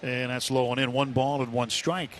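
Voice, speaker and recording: An American man doing live radio play-by-play of a baseball game, with unhurried pitch calls and pauses between phrases. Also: flat delivery, a bit bright. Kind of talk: live sports commentary. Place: ballpark broadcast booth. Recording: live stadium broadcast, ambient noise.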